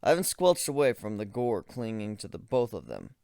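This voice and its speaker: Guy reading a story out loud dramatically, really lively pitch, dry close sound. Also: fairly quick, gravelly, no echo. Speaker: narrator of a fan fiction dramatic reading.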